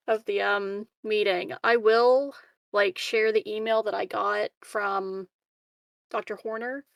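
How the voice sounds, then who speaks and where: A woman's voice: mid-range voice, steady tone, takes it slowly, gravelly, pauses often, dry recording, muffled recording. A woman chatting casually as a player in a tabletop roleplaying game, partly in character.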